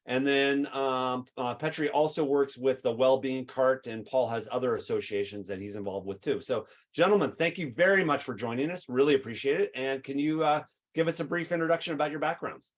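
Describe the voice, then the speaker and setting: An enthusiastic man hosts a webinar in an upbeat, chatty way. His delivery is full of ums and uhs. His voice sits at a middle pitch. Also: a little fast, few pauses. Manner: upbeat, enthusiastic, filler-heavy. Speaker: webinar host. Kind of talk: webinar hosting.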